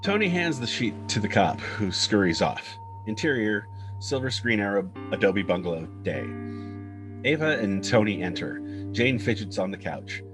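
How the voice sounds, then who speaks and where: A man's voice: fairly low pitch, lively intonation, moderate pace, music in the background. A man reading screenplay stage directions as narrator, in clipped, descriptive scripted phrases.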